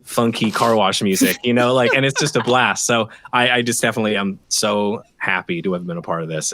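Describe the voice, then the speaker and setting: Low-voiced man chatting enthusiastically. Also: fairly bright tone. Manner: rambling and enthusiastic, heavy use of "like" and "sort of". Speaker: sound designer and musician on an audio drama. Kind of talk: casual chat on a live stream.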